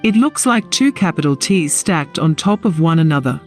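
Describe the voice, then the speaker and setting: A woman's voice: deep voice, expressive, moderate pace. A woman reading scripted story narration for an audio drama. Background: music.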